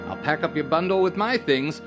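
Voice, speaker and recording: An actor playing a wheedling, folksy circus candy seller in an audio drama, with a self-justifying, rustic way of talking. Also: male voice, a little high, expressive, a little fast, music in the background, close to an everyday voice. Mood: brisk, friendly.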